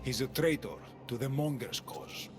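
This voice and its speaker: An actor playing an irritable, contemptuous underworld prisoner in a video game, scornful, exasperated and defiant. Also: male voice, mid-range voice, big pitch swings, moderate pace, natural voice. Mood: grudging, defiant. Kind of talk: video game cutscene acting. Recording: music behind.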